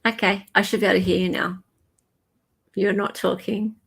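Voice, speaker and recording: A woman's voice: mid-range voice, little pitch movement, normal speed, vocal fry, a bit bright, husky. An Australian woman hosting a casual live stream, chatting in an informal, scattered way.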